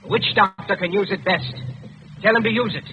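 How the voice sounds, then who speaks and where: An actor playing a cool, crafty Englishman in 1940s radio drama style, persuasive and deliberate. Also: high-pitched, steady tone, music in the background, natural voice. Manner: deliberate, simple coaxing phrases. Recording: dull old radio broadcast recording.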